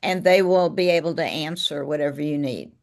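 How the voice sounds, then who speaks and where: A woman's voice: low-pitched, fairly quick, a little mellow. A woman hosting a live webinar over a video call, encouraging callers and leaning on "you know" fillers. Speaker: webinar host.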